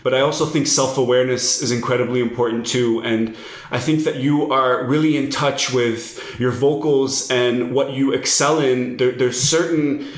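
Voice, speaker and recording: A man's voice: mid-range voice, fairly even intonation, reverberant. A young man from Lebanon hosting an interview, eager and casual, calling his guest "bro". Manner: enthusiastic, uses "bro", quick bursts. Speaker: host.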